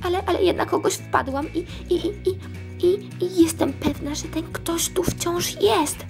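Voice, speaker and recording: A woman's voice: very high-pitched, measured pace, vocal fry, a little mellow, faint music behind, pitched above the performer's own voice, heavily acted. A woman voicing an eager, jumpy female Minion detective with a hesitant, stammering manner in a children's toy story. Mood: startled, nervous. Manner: hesitant, stammering, wide-eyed.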